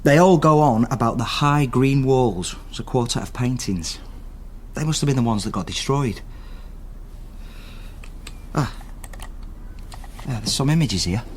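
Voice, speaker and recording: An actor playing an eager, inquisitive investigator in a naturalistic radio drama. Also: male voice, mid-range voice, lively intonation, measured pace, long pauses, a little hiss or hum, close to an everyday voice. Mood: intrigued. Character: eager, inquisitive male investigator tracing an artist's family.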